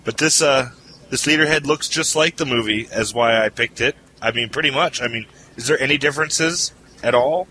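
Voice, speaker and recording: Bright-voiced joking podcast host. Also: male voice, medium pitch, moderate pace, a little hiss or hum, rough recording. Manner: loose and jokey, laughs often. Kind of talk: casual panel chat.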